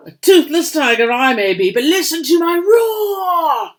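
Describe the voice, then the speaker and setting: A fierce old storybook tiger played by a woman blusters and accuses. The voice is loud and threatening, rising toward a roar with big swings in pitch. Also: lively intonation, unhurried. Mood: furious.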